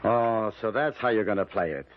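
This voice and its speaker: A man's voice: lowish voice, moderate pace, natural voice. An actor playing a desperate, guilt-ridden working man in an old-time radio drama, weary and agitated. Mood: weary, guarded. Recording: dull lo-fi radio recording with music.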